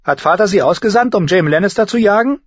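A man's voice: sits high, lively intonation, fast, no room sound, highs cut off, voice pushed up. A man voicing a defiant young tomboy girl in a storybook, blunt and taunting. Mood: curious. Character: defiant, mocking tomboy younger sister, noble child.

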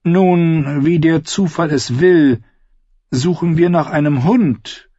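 A man voicing a calm outlaw singer in an audiobook, teasing and falsely courteous. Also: a little high, slow pace, no room sound, lo-fi sound, voice pushed up. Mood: mock-friendly. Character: wry outlaw singer, mockingly polite and calm. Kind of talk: audiobook character voice.

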